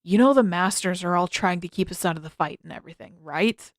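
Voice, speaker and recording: A woman's voice: fairly low pitch, fairly quick, no echo, clean studio sound, natural voice. A woman voicing a blunt, irritable, sarcastic teenage boy in an audiobook-style story, speaking casually and emphatically. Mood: grudging, annoyed.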